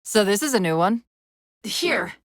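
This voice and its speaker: A woman playing a brisk, wry, urgent military AI companion in a video game, giving crisp commands and tactical briefings. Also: medium pitch, animated, no echo, clean studio sound, lightly acted. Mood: brisk, focused.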